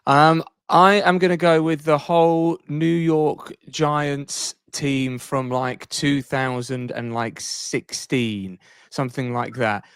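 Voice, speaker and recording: A man's voice: on the high side, fairly even intonation, takes it slowly, a bit bright, clear, resonant tone, no echo. Rambling man on a sports podcast, dry sound. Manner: rambling, thinks aloud and backtracks. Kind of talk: casual sports chat.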